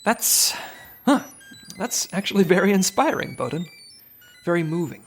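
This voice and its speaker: A man's voice: high voice, lively intonation, normal speed, soft background music. A man playing a dry, official special agent in a comedy audio drama, speaking in a matter-of-fact way. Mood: impressed, formal.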